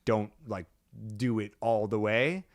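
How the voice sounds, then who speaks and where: A male comedian guest chatting casually on a podcast, halting with many fillers and restarts. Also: fairly low pitch, no room sound.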